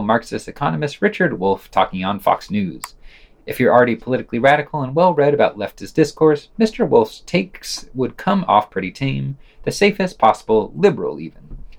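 A man presenting a podcast, reading scripted reviews with dry commentary. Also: medium pitch. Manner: reads quotations aloud, marking quote and unquote.

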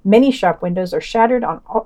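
A woman's voice: medium pitch, brisk, warm tone. A woman podcast host reading a scripted news roundup, including quoted excerpts.

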